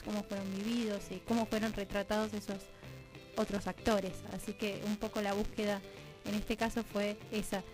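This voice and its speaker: A woman's voice: medium pitch, low-quality recording. A woman with an Argentine accent talking discursively and reflectively as a guest in a conversational radio interview. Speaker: radio drama producer and guest.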